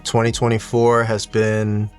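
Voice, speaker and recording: A man talking casually and reflectively in a monotone, low-key, unhurried way, like a personal video diary for his hobby channel. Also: soft background music. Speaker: YouTube watch collector and content creator. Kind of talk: casual reflective solo vlog talk.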